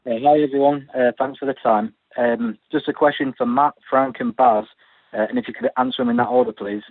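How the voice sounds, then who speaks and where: Male reporter, husky mid-range voice, dull and dry phone audio. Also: medium pitch, steady tone, brisk, no echo. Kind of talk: question on a media call. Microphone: telephone.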